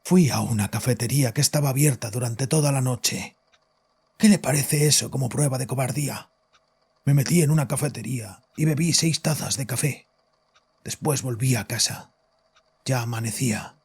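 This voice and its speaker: A man playing a haunted, guilt-ridden father confessing in a bitter, cold way, with a raspy voice, in a horror audio drama. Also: medium pitch, moderate pace, darkish tone. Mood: flat guilt. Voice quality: raspy, husky. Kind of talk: dramatic audio fiction monologue.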